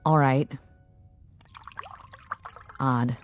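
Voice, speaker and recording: A woman's voice: very deep voice, fairly even intonation, extremely slow, faint music behind, heavily acted. An actress playing a casual office assistant chatting with her boss in a radio drama, dry and conversational. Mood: dry, musing.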